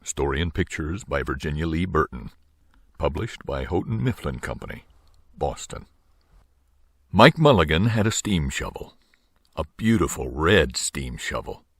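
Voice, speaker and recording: A man's voice: extremely low pitch, sing-song, unhurried, husky, no room sound. An older man reading a children's story aloud as a narrator. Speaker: storybook reader.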